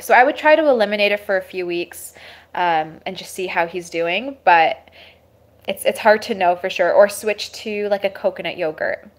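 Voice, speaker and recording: Woman host of a casual live Q&A, middle pitch, voice worn by a sore throat, relaxed advisory delivery full of fillers. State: sore throat, losing her voice. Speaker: holistic health host. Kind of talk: live Q&A, reading and answering viewer questions. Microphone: webcam or phone livestream.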